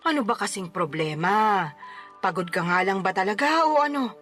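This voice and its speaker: A woman's voice: mid-range voice, animated, moderate pace, fairly bright tone, lightly acted. A woman playing a stern older mother and grandmother who scolds her daughter in a radio drama, over faint music. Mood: reproachful. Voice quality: scolding, dramatic swings up when outraged. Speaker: mother of the letter sender. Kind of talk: Tagalog radio drama acting. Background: faint background music.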